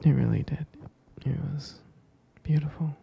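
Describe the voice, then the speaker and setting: A man playing a tender, devoted husband in an intimate ASMR roleplay, speaking slowly and hesitantly in a soft, breathy, creaky whisper. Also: a little high, steady tone, dry recording, lo-fi sound, heavily acted. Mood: adoring, tender. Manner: slow, soft, hesitant, doting murmur. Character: tender, devoted husband comforting his pregnant partner.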